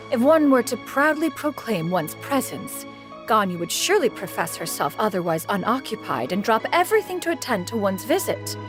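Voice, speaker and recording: A woman voicing a proud, aloof immortal master in a video game, speaking in a formal, archaic way. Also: a little high. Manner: haughty, formal, archaic, calls herself "one".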